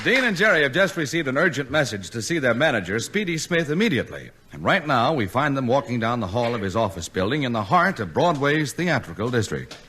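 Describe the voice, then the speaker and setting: A man's voice: medium pitch, big pitch swings, fairly quick, a little hiss or hum. A man announcing a 1940s radio show in a formal, projected showman's delivery. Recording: old radio recording, loud music and crowd. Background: loud music and audience.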